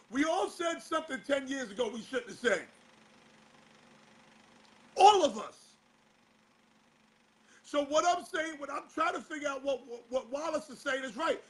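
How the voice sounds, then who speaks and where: A male show host, slightly hoarse, talking in a casual commentary style and firing off exasperated rhetorical questions. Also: very high-pitched, pushed and bright, poor audio.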